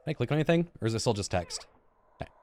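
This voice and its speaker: A man's voice: mid-range voice, lively intonation, racing, darkish tone, a little hiss or hum. A man in his thirties reading a visual novel aloud as a let's play host, in a dramatic storytelling way with casual asides and stumbles. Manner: dramatic storytelling read with stumbles and self-corrections. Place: indoor room at a gaming setup. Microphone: close desk microphone with headset. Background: game music.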